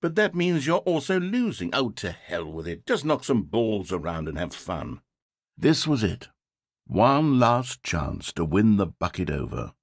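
A man's voice: fairly low pitch, big pitch swings, measured pace, slightly rough, no room sound, heavily acted. A man voicing a sardonic, theatrical game narrator, recorded in a studio. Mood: wry. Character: sardonic, theatrical male storyteller.

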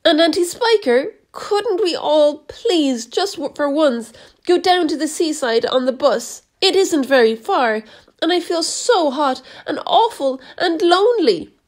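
A woman's voice: sits high, lively intonation, measured pace, voice pushed up. A woman doing a small pleading boy. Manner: pleading, tearful.

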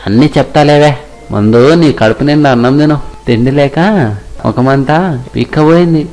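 Guy doing a kind young fisherman son, earnest n caring, medium pitch, faint music behind, story video acting. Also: normal speed, darkish tone, close to an everyday voice. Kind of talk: acted moral story dialogue.

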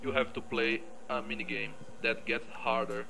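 A man giving casual gameplay commentary, thinking aloud in halting short fragments over game music. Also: mid-range voice, flat delivery, creaky, noisy background. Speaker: gamer narrating own playthrough. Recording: music behind the voice.

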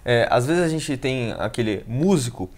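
A Brazilian man in his thirties hosting an interview on a couch, relaxed and asking long rambling questions. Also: mid-range voice, moderate pace. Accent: Brazilian Portuguese. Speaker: interview host. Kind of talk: casual interview conversation. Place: indoor living room set on a couch.

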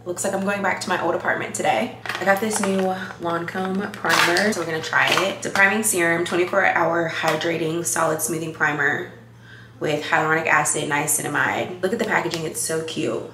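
A woman's voice: on the low side, fairly even intonation, fairly quick. A young woman in her twenties chatting upbeat to the camera while she gets ready at home. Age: 20s. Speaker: lifestyle vlogger. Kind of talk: casual vlog chat to camera. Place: apartment kitchen and bathroom. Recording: echoey room with background noise.

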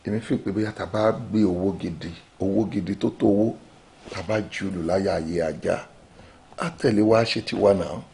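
A gravelly scheming politician. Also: male voice, sits low, fast talker, darkish tone, slight background noise. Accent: Nigerian. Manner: smug, conspiratorial. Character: scheming politician who buys off rivals.

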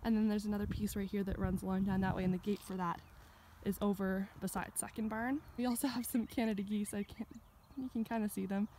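A woman's voice: medium pitch, fairly even intonation, quick pace, warm tone, slight background noise. A woman in her twenties gives a casual, chatty walking tour of a farm and barn, filmed on a phone held at arm's length. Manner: chatty, upbeat, points things out while walking. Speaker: vlogger giving a barn tour. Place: outdoors on a farm and inside a barn.

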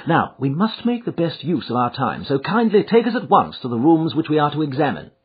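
A man voicing a shrewd, commanding Victorian gentleman detective in an audiobook, speaking in incisive, precise phrases. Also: a little high, very animated, fairly quick, husky, pitched above the performer's own voice, exaggerated voice. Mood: firm, commanding. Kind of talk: audiobook character dialogue. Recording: muffled, thin phone-like audio.

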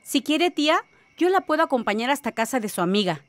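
A high-pitched voice in a radio drama. She is a showy aunt home from abroad, persuasive and boastful in how she talks. Faint music plays behind. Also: big pitch swings, a little fast. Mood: helpful.

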